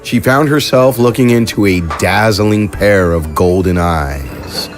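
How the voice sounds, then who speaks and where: A man narrating a science fiction story in a measured, even storytelling manner. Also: fairly low pitch, faint music behind. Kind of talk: scripted audiobook narration.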